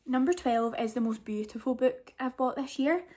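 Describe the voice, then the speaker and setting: A woman's voice: high voice, normal speed, darkish tone. A woman chatting casually and enthusiastically to camera as a book vlogger, often gushing.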